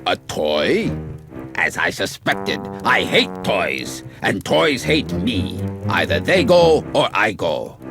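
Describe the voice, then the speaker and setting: A pompous, toy-hating tyrant mayor blusters in a cartoon game. His delivery is slow and declamatory, with huge swings in pitch. Music plays behind him. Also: wildly swooping pitch, exaggerated voice. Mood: pompous fury. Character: pompous, grumpy tyrant mayor who hates toys. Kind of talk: theatrical animated game cutscene acting.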